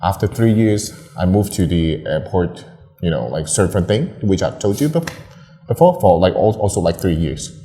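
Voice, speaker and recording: A man's voice: low-pitched, lively intonation, normal speed, warm tone. A young man from Hong Kong, a photographer, chatting casually as an interview guest, rambling with lots of fillers and restarts. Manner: laughs often, rambling with many fillers and restarts.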